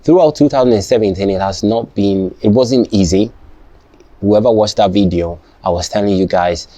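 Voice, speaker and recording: A young man, not a native English speaker, talking casually to his viewers, halting and restarting often and checking "you understand?". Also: lowish voice, warm tone, no echo. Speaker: vlogger. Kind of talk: casual personal talk to camera.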